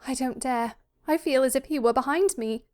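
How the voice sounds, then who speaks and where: A high, breathy young woman's voice put on by a woman narrator for a timid, frightened daughter in a literary story. She speaks in anxious, hurried pleas, as if afraid of being overheard. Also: natural voice. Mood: anxious, pleading. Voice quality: breathy, gasping.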